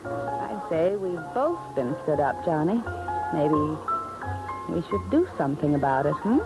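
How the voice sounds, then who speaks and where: Flirty cool femme fatale, 50s radio drama, slow teasing talk, music behind. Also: female voice, sits low, sing-song, poor audio. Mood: coy, teasing. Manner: languid, evasive, teasing. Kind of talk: 1950s radio drama acting. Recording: vintage 1950s radio recording, music behind.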